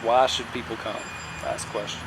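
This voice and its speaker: A man's voice: medium pitch, normal speed. Man asking questions, traffic noise. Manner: relaxed, brief prompts. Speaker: interviewer. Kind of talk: casual interview questions. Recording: clear outdoor traffic noise.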